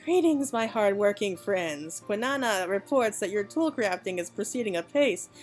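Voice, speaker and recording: A woman's voice: mid-range voice, lively intonation, moderate pace, soft background music, natural voice. A woman voicing a gracious guild patron from a fantasy game with stately, formal phrasing. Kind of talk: video game dialogue read aloud.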